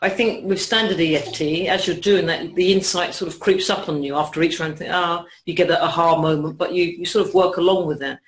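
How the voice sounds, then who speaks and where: A man's voice: on the high side, steady tone, brisk, hardly any pauses, fairly bright tone. A young man describing his pain and his experiences, talking hesitantly with many fillers and restarts. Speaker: client. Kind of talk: conversational interview. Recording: echoey room.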